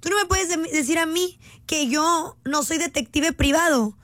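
A young Mexican woman streaming, chatting in an animated, joking, rambling way. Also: extremely high pitch, normal speed, dry recording. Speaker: streamer reading viewer voice notes. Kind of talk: casual livestream chat.

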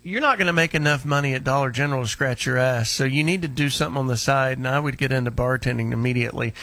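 A man's voice: medium pitch, fast talker, fairly bright tone, no room sound. A man hosting a call-in radio show, blunt and teasing with a caller. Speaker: radio host.

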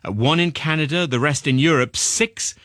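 A man's voice: fairly high pitch, normal speed, fairly bright tone, no room sound. Male news presenter, close dry studio sound. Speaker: radio news presenter interviewing guests. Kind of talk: broadcast interview and news presenting.

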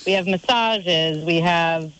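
A woman festival organizer in a radio interview, upbeat and brisk as she runs through lists of attractions. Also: lowish voice, a bit bright, smooth, rough recording.

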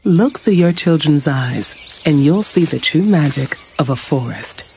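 A narrator reading a public service ad in soft, evocative storytelling. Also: medium pitch, expressive, unhurried, faint music behind. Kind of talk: gentle scripted narration.

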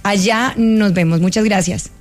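A woman's voice: medium pitch, a little slow, faint music behind. A woman with a Colombian accent, hosting and interviewing in a conversational broadcast style. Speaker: show host, interviewer.

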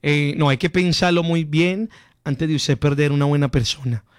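Man, Colombian Paisa accent, radio host, high voice, smooth and dry, upbeat. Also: steady tone, normal speed, no echo. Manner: upbeat, playful, blessing callers. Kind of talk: lively call-in radio chat.

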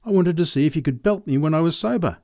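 A man voicing a rough, hard-drinking farmer who talks in a gruff, swearing, complaining way. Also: a little high, lively intonation, fast talker, mellow, no room sound, studio quality, pitched above the performer's own voice. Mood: grumbling. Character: rough, hard-drinking adult male farmer, blunt and boastful.

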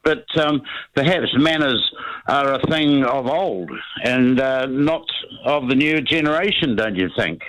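A man with a New Zealand accent chats as a regular caller to a radio show. His voice sits at a medium pitch, and he speaks in a halting, drily blunt way. The sound is dry, without room echo. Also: lively intonation, a bit bright. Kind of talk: casual phone-in chat.

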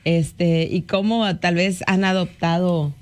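A woman's voice: fairly low pitch, fairly even intonation, slow, dry recording. Chatty Mexican-born woman radio host. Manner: chatty, warm interjections, frequent fillers. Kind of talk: casual morning radio talk.

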